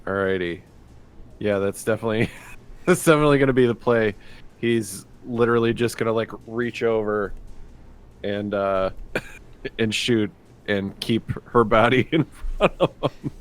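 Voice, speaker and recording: A man's voice: lowish voice, normal speed, long pauses. A man playing a tabletop game, chatting casually and thinking aloud in a laid-back, hesitant way. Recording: faint music behind.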